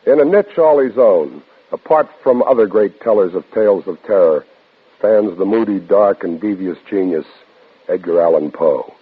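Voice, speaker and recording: A man hosting a vintage radio thriller, giving a scripted introduction in a dramatic, ominous, deliberate way, with a husky voice. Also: sits low, animated, narrow, tinny audio. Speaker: program host introducing the story. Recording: thin, band-limited old radio recording.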